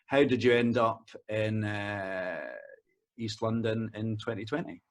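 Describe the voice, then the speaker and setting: A man interviews a vicar on behalf of his church community. His questions wander and pile up, one after another, with pauses and ums. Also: fairly low pitch, steady tone. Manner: rambling, stacks several questions at once.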